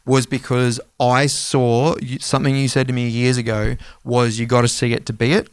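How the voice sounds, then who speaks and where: A man in his thirties with a smooth, clean voice at a medium pitch. He talks like a podcast co-host swapping stories, casual and animated, swearing freely. The sound is dry and free of room echo. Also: normal speed. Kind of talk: casual conversational podcast talk.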